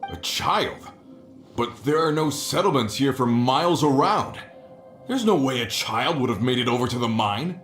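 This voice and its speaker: A man voicing an earnest, practical, decisive mining boss in a video game, plain-spoken and brisk. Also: medium pitch, expressive, a bit bright, reverberant, faint music behind, lightly acted. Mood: concerned, puzzled.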